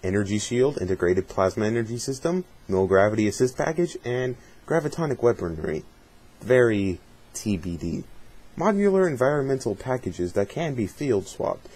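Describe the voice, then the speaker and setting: A man with a slightly husky voice doing a dramatic reading, with casual asides in between. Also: mid-range voice, very animated, normal speed, darkish tone. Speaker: reader of a fan dramatic reading.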